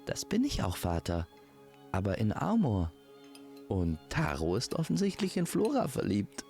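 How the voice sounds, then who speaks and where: Man acting a creaky-voiced, earnest young maiden. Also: fairly low pitch, wildly swooping pitch, measured pace, darkish tone, soft background music, full character voice. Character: lovestruck, frank young princess and modest maid.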